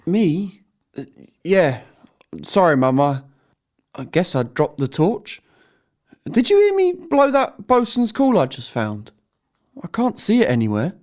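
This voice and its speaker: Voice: medium pitch, wildly swooping pitch, unhurried, pauses often, darkish tone. An actor playing an anxious, eager and earnest young boy in an audio drama. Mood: dazed, sheepish.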